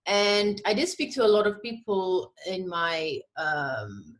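A woman's voice: medium pitch, animated, slow pace. Hesitant woman guest from Ethiopia. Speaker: podcast guest, literary editor and prize judge. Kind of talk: casual interview chat.

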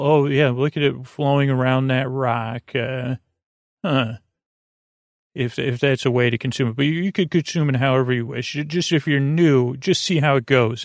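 A man with a creaky voice hosting a sleep podcast, rambling in a soothing, halting way and stammering over repeated words. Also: mid-range voice, no room sound, clean studio sound. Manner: soothing, lulling. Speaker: podcast host. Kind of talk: rambling bedtime monologue to lull listeners to sleep.

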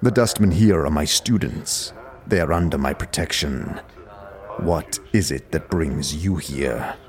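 A man playing a solemn, heavy-set dustman with a stony voice and formal, measured speech in a fantasy audio drama. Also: a bass voice. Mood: grave, solemn. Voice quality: gravelly, stony.

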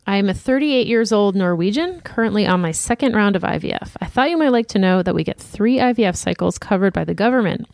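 A woman co-hosting a podcast, reading listener emails aloud in a steady, plain voice. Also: mid-range voice, no room sound, studio quality. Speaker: podcast co-host.

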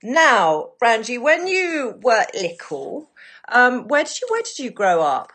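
Host: woman, enthusiastic and teasing interviewer, very expressive pitch. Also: slow. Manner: enthusiastic, playful questioning. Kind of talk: casual interview chat.

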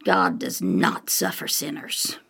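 A woman's voice: sits low, big pitch swings, takes it slowly, gravelly, fairly bright tone, husky, exaggerated voice. An actress playing a harsh, pious older mother-in-law with a Southern US accent, cutting and haughty, in a radio drama. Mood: scolding, pious. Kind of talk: old-time radio drama acting.

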